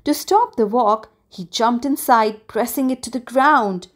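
A woman narrating a scripted children's story with expressive, deliberate phrasing. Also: on the high side. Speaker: storybook reader. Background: music.

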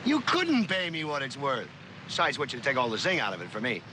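A man's voice: mid-range voice, wildly swooping pitch, quick pace. A man playing a smug blackmailing spy in an old suspense drama, mocking and sardonic with theatrical emphasis. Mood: sardonic, taunting. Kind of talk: mid-century American TV suspense drama acting. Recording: noisy old TV soundtrack, outdoor engine noise.

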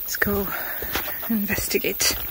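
A woman's voice: medium pitch, flat delivery, slow, gravelly, fairly bright tone, slight background noise, rough recording. Chatty woman narrating a hike. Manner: chatty, easygoing, points things out while walking. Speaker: hiking vlogger. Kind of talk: casual trail narration. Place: wooded hiking trail outdoors.

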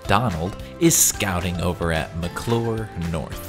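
A man's voice: sits low, slow pace. A man narrating an educational nature video. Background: music.

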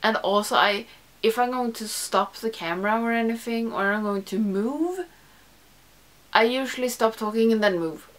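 A woman's voice: on the high side, moderate pace, a bit bright. A young woman, a non-native English speaker, chatting casually to camera in a small room, rambling and correcting herself with lots of "like". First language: not English. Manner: rambling, self-correcting, frequent "like". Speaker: beauty YouTuber. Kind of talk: casual chat to camera, answering a tag. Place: small room with makeup shelves, indoors.

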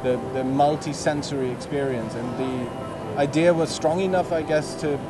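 A man in his thirties speaks thoughtfully as he explains his work, halting and restarting his sentences. His voice sits a little high, and loud music plays under him on a busy outdoor plaza. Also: fairly even intonation. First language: German. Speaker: artist and exhibit creator. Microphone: handheld microphone.

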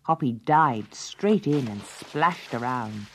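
A woman narrating a children's storybook in an expressive, dramatic way. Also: a bass voice, takes it slowly, background noise.

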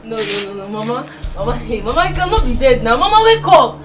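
Girl playing a young nigerian granddaughter, high voice, soft and earnest. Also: animated, fast, music in the background, close to an everyday voice. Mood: wailing. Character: devoted young village granddaughter.

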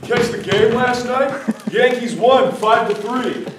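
A man's voice: very high-pitched, takes it slowly. A young man playing a breezy, chummy neighbor in a sitcom-style stage play. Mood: breezy, friendly. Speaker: stage actor. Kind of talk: 1960s sitcom parody stage acting. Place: theater stage. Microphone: distant camera microphone. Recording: roomy, noisy distant stage recording.

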